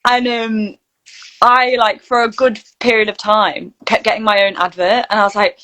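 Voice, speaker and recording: A woman's voice: mid-range voice, level tone, normal speed, a bit bright, poor audio. Chatty woman in casual conversation. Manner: informal, candid, chatty. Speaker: interview guest, actor and voice reel studio founder.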